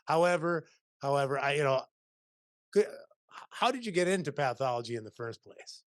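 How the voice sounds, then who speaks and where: Male podcast host, middle-aged, energetic, rambling, dry mic. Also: a little high, normal speed, no echo. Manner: upbeat, rambling with restarts. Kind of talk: video-call interview. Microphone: studio mic on boom, video call.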